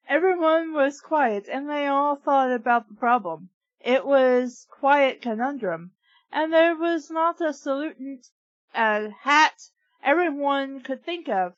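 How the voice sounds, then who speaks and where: A high-pitched woman reads bad fan fiction aloud at an unhurried pace, switching from deadpan narration to sarcastic asides. The sound is dry and close, and a little dull and lo-fi. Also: dry recording. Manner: deadpan reading broken by sarcastic asides and giggles. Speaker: reader and podcast host. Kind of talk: comic dramatic reading of fan fiction.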